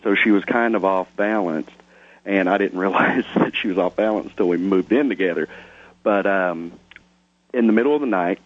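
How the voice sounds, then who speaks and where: A male caller with a very deep voice phones in to a radio show. He tells his story briskly and directly. The line sounds dull and muffled. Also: no echo.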